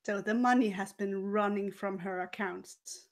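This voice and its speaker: A woman telling a story in character as a haughty, scheming former cabaret dancer, theatrical and deliberate with dramatic pauses and swells. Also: mid-range voice, steady tone. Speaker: player in a murder mystery role-playing game.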